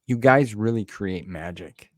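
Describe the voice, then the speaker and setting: A man's voice: lowish voice, a little slow, dry recording, clean studio sound. An American man in his forties hosting a casual interview in an effusive, folksy, enthusiastic way. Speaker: podcast host and interviewer.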